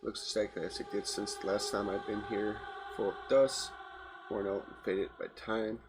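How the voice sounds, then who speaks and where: A man's voice: on the low side, little pitch movement, moderate pace, over loud music. A man reading a game's text aloud as a let's play host, stumbling here and there and adding casual asides. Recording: very noisy home recording.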